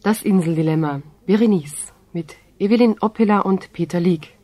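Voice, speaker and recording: Mellow woman announcer, very dry sound. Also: on the low side, normal speed, no echo. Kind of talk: scripted credits announcement.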